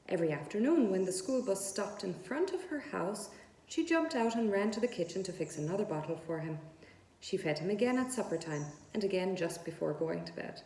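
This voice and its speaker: A woman's voice: lowish voice, dark tone. A woman narrating a children's book aloud as a storyteller. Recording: echoey room.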